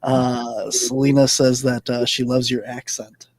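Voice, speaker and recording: Male podcast host, flat voice. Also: mid-range voice, measured pace, no echo. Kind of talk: casual podcast hosting.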